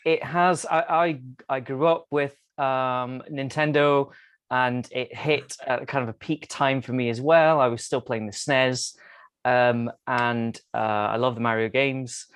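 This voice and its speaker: A man with a medium-pitched voice who speaks slowly. He is measured and hesitant, discussing films casually as a member of a podcast panel. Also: fairly even intonation, a bit bright, smooth.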